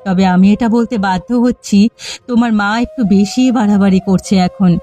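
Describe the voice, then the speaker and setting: A woman playing a frank, supportive village neighbour in a family cartoon, speaking firmly and in a measured way. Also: medium pitch, warm tone, soft background music, natural voice. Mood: firm, reproachful. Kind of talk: cartoon family comedy acting.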